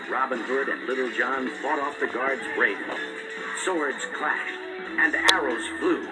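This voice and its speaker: Animated male storybook narrator over loud music. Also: medium pitch, low-quality recording. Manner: dramatic, expressive storytelling. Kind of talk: scripted children's storybook narration.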